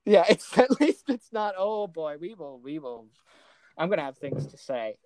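A podcast co-host talking casually about films in an animated, exasperated way, with some mild swearing. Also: medium pitch, moderate pace, no echo. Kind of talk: casual film discussion.

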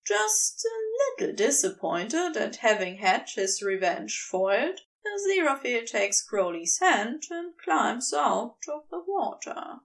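A woman reading scripted fiction aloud as an expressive story narrator. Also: fairly high pitch, takes it slowly, gravelly, hardly any pauses, recorded from a distance. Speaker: podfic reader.

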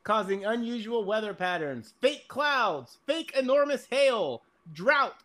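High-pitched, sarcastic man. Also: animated, measured pace, sharp tone. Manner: sarcastic, exasperated, sweary. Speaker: podcast guest reading fliers aloud. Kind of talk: casual chat with mock-dramatic readings.

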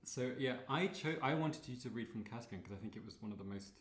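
A man hosting a literary podcast, introducing a guest and then asking soft, hesitant follow-up questions. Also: mid-range voice, lively intonation, fast talker, slight background noise. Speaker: podcast host.

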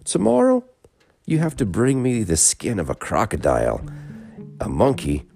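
A man's voice: fairly low pitch, wildly swooping pitch, normal speed, creaky, a little mellow, soft background music, pitched above the performer's own voice, heavily acted. A man voicing a wise, lordly male god of the animals in a storybook tale, speaking in a grand, commanding way.

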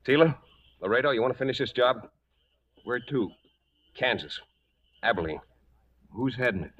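A man's voice: mid-range voice, expressive, normal speed, a bit bright, lightly acted. A man acting a firm young cowboy in a 1940s American radio western, terse and commanding. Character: firm young cowboy leading a trail-drive mutiny. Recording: dull 1940s radio broadcast recording.

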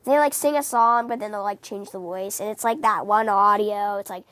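A woman hosting a casual book-fan podcast, chatting in a rambling, animated, giggly way, her voice scratchy from a cold. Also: mid-range voice, moderate pace, no echo. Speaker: podcast host.

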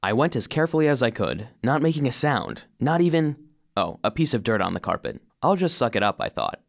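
A man's voice: mid-range voice, very animated, brisk, vocal fry. A man playing an earnest, eager cleaning robot who narrates his own story expressively in a children's audio drama. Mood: excited, hushed.

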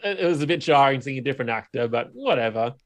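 A man's voice: medium pitch, animated, fast. A man co-hosting a podcast in casual, conversational chat about films and games. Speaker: podcast co-host.

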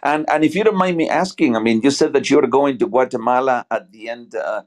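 A man from Argentina speaks English with a clear Argentine Spanish accent and a polite, unhurried manner. His sentences stop and restart, full of "uh" and "I mean", as he gathers his words. He sounds like a podcast guest on a video call. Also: mid-range voice, a little mellow. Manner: halting, many fillers and restarts, polite. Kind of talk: casual conversation.

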